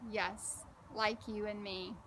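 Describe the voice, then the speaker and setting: A woman's voice: medium pitch, level tone, slow pace, sharp tone, a little hiss or hum, low-quality recording. A woman voicing a patient, playful girl who explains things to her younger cousin in a children's storybook. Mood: patient, explaining.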